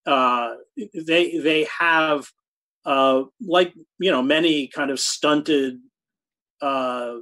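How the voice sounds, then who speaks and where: A man in his sixties answering interview questions over a video call from a home study, speaking thoughtfully with halting restarts and hard emphasis on key words. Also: fairly high pitch, fairly bright tone. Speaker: guest, journalist. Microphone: video call webcam.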